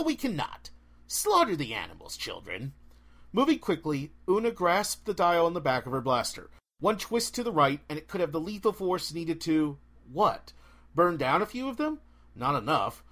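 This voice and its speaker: A man reading a fantasy novel aloud as an expressive audiobook narrator. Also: fairly high pitch. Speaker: audiobook reader. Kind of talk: expressive scripted story narration.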